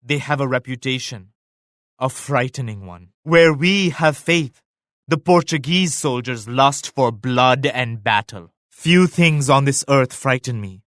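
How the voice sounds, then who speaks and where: An actor playing a devout, solemn emperor and military leader in a strategy game, with measured, grave, pious declamation. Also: male voice, medium pitch, animated, fairly bright tone, dry recording, clean studio sound. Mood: ominous, measured. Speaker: video game character voice actor. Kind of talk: scripted strategy game voice lines.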